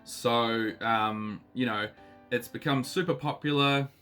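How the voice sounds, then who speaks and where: A man in his thirties with a New Zealand accent, still a bit husky from illness, chatting casually as he unboxes trading cards with lots of ums and uhs. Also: mid-range voice, takes it slowly, fairly bright tone, faint music behind. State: husky, recovering voice. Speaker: card collecting channel host.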